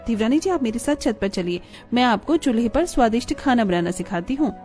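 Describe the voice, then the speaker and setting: A woman's voice: fairly high pitch, expressive, brisk, a little mellow, faint music behind, close to an everyday voice. A woman playing a thrifty, firm housewife and dutiful daughter-in-law in a cartoon family drama. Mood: polite, practical.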